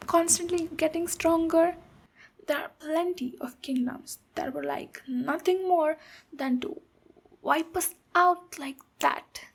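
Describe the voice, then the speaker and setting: A woman voicing a tough but protective ogre warrior in a soft-spoken, reassuring ASMR roleplay, with pauses. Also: high voice. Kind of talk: ASMR roleplay, speaking to the listener.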